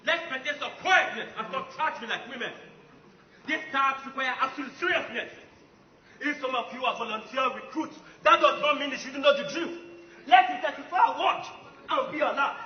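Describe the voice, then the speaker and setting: A man's voice: very high voice, normal speed. A man playing a stern, bossy warrior in a stage play, barking orders for discipline. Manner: barking, commanding, strict. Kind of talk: comic stage play acting. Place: stage in a large hall with audience. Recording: echoey hall, muffled, background audience noise.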